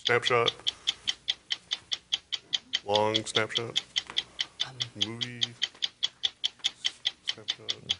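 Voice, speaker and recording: Very slow, terse male game contestant. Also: medium pitch, completely flat delivery, gravelly. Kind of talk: terse guessing. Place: TV studio. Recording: very noisy TV studio floor.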